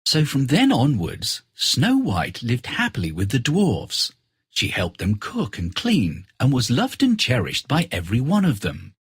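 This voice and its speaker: Very husky, animated male storyteller. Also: medium pitch, measured pace, no room sound. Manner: expressive, bedtime-story delivery. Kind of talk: scripted fairy-tale narration for children.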